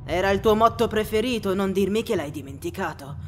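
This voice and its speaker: A man's voice: high voice, fairly quick, fairly bright tone, slight background noise. A man voicing a spiteful, taunting shapeshifting homunculus in an anime fan dub. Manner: cackling, taunting. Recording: long reverb.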